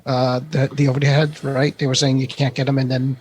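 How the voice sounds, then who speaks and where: A man's voice: medium pitch, little pitch movement, a little fast, darkish tone, slight background noise. A man co-hosting a tech podcast, chatting casually and hesitantly as he thinks aloud. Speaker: podcast co-host.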